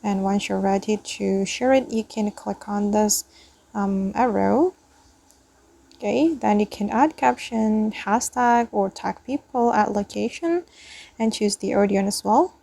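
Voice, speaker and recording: A woman hosting a tutorial, narrating step by step with hesitant, drawn-out pauses. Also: medium pitch, creaky, dark tone. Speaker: tutorial host.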